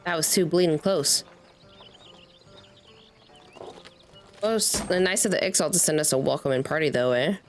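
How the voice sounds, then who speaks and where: Woman as old male peddler, fantasy game, rustic, chatty, lower pitch, soft music underneath. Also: lively intonation, fast. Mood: relieved, friendly. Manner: rustic, talkative, reading aloud with stumbles.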